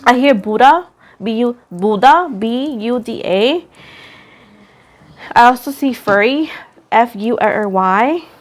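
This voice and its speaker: A woman's voice: a little high, lively intonation, slow, long pauses. A woman giving a casual, chatty tarot reading, rambling in a self-deprecating way and repeating phrases for emphasis. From Puerto Rico. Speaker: tarot reader, host.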